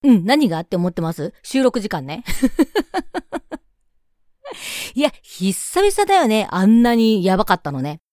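A woman's voice: medium pitch, sing-song, takes it slowly, long pauses, a little mellow, no room sound, studio quality. A woman hosting a casual radio chat, mixing polite speech with playful teasing. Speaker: radio show host.

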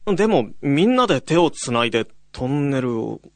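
A man's voice: mid-range voice, very animated, moderate pace, no room sound. A man playing a young male college student who tells a ghost story in a radio drama. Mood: somber, reflective. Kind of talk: radio drama narration with acted dialogue.

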